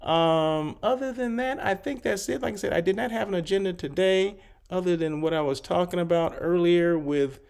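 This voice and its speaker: A man with a fairly high, gravelly voice hosts a loose live stream, drifting from one aside to the next. He sounds a little froggy and hoarse, and his talk is full of fillers. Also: moderate pace, a little mellow. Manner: rambling, chatty, many fillers and asides. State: slightly hoarse, clearing throat. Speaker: hotel consultant hosting a live show. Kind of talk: casual livestream chat.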